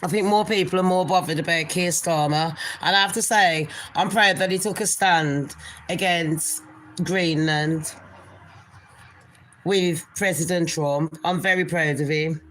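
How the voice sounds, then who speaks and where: A woman's voice: lowish voice, steady tone, unhurried, fairly bright tone, a little hiss or hum, poor audio. A woman with a nasal voice, hosting a casual gossip livestream and chatting in a rambling way to her viewers. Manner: chatty, rambling, calls viewers people and darlings. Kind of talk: casual live chat to viewers.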